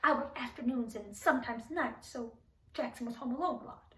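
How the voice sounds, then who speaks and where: Grieving, doting mother, played by a woman, dramatic monologue. High pitch, halting and defensive, heard at a distance from the mic. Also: sharp tone. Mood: defensive, sad. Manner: halting, pleading, stammering. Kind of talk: dramatic monologue for speech competition. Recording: echoey room, distant microphone.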